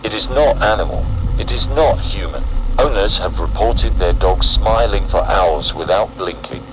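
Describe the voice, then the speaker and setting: A man narrating a scripted horror emergency broadcast in a solemn, deliberate, ominous way. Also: on the low side, animated, low-quality recording.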